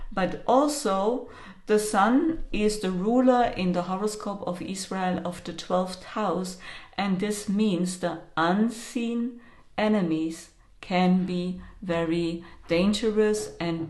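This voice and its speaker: A woman speaking English as a second language, calmly explaining astrology in a slow, hesitant monologue with many pauses and fillers. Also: mid-range voice, echoey room. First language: not English.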